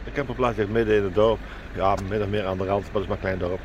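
A man's voice: on the low side, fairly even intonation, fast talker, slight background noise. A man in his sixties chatting casually to a handheld camera about his camper trip, easygoing, with plenty of fillers and restarts. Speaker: camper vlogger. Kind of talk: casual travel vlog to camera. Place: inside a camper van, then outdoors by a river. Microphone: camera at arm's length.

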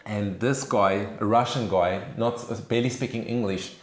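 A man's voice: lowish voice, steady tone, moderate pace. A man whose first language is Afrikaans, telling stories earnestly and emphatically in a seated discussion. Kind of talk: conversational discussion. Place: small room with sofas, indoors. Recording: roomy indoor sound.

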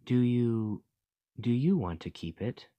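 A man playing a gentle, curious fantasy archaeologist in an ASMR roleplay, speaking in a formal, musing, articulate way. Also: medium pitch, lively intonation, slow, dry recording. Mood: kindly, earnest.

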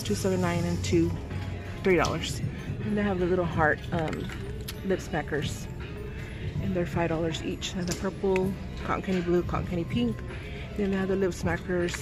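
A woman narrating as she films store shelves, reading out products and prices with soft laughs. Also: lowish voice. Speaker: vlogger. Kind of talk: casual shop-with-me narration. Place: large store aisle. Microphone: phone held while filming. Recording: music overlay loud behind voice. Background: store noise and music.

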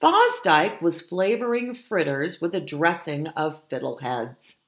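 A middle-aged woman reading a picture book aloud to children, expressive and pausing to explain. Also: low-pitched. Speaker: storytime reader, teacher.

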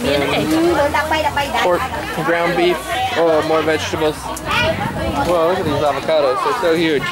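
Travel vlogger exclaiming over loud crowd. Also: mid-range voice, sing-song, slow pace. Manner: exclaiming at sights in short phrases. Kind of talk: casual walking commentary. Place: outdoor street market, walking. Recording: busy street market noise. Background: loud market crowd and vehicles.

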